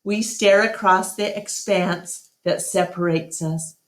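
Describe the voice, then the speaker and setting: A woman reading a storybook aloud as narrator, in a measured and expressive way. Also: lowish voice. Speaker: storytime reader. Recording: echoey room.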